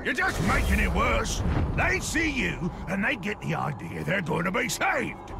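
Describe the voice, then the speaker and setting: An actor voicing a gleeful, sadistic orc in a video game, with theatrical mocking delivery. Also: male voice, high voice, sing-song, vocal fry, exaggerated voice. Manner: theatrical, mocking, drawn-out shouting and cackling. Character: gleeful, sadistic orc taunter, high and gravelly. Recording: loud music behind the voice. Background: loud game score.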